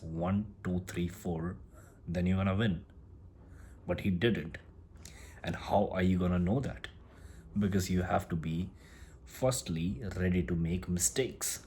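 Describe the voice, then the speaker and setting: A man with a very low voice gives a casual motivational talk in English, which is not his first language. He rambles, circles back and restarts his phrases as he goes. A faint hum of ambience sits behind him. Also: darkish tone. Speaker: self-improvement vlogger.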